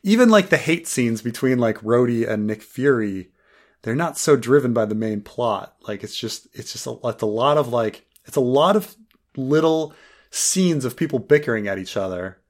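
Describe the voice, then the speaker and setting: A man's voice: mid-range voice, animated, normal speed. Male podcast co-host, casual chatty review, filler-heavy and meandering. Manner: rambling, many fillers and restarts; laughs while joking.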